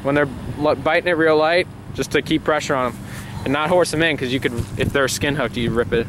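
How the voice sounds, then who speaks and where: Young man, rambling fishing talk, slightly high voice, outdoors by a pond, heavy background noise. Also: brisk. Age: young adult. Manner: chatty, rambling, enthusiastic. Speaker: fishing vlogger. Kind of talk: casual outdoor fishing commentary. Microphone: camera mic, handheld or nearby. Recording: loud wind and outdoor noise.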